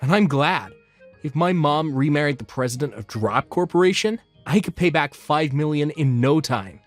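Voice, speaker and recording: A woman's voice: deep voice, lively intonation, moderate pace. A woman voicing a selfish, whiny adult son in a melodramatic, pleading manga dub style. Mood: smug, scheming. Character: selfish whiny adult son begging his mother for money. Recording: music behind the voice.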